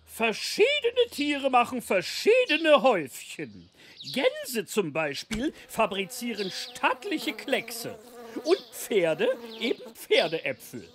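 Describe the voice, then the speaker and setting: A woman's voice: fairly high pitch, huge pitch swings, slow pace, a little hiss or hum, full character voice. A woman voicing a pompous, learned male goose who lectures fussily in a children's cartoon. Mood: lecturing. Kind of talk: children's animated audio-story acting.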